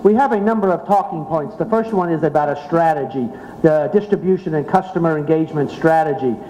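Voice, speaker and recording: A man's voice: a little high, a little fast, mellow. A man moderating an insurance technology panel at a live conference event, introducing the speakers and reading out discussion questions. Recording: echoey hall, slightly dull, faint room noise.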